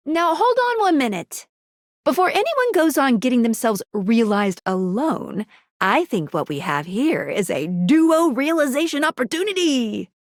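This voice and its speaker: A woman's voice: fairly high pitch, no room sound, studio quality. A woman playing a peppy, folksy guide in a game, talking in an eager, informal, coaxing way.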